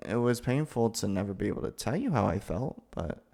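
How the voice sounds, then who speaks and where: A man acting a nervous, awkward, lovestruck young man in a gentle roleplay, with hesitant, stammering delivery. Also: fairly low pitch, lively intonation, a little fast, gravelly. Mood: shy, confessional. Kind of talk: ASMR boyfriend roleplay acting.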